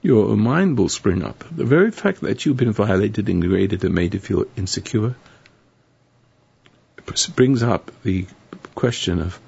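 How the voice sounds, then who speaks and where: Hoarse gravelly male radio host. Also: on the low side, lively intonation, unhurried, a little mellow. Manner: rambling, preachy, sardonic. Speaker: radio talk show host. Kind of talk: live call-in radio monologue. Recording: dull lo-fi radio broadcast.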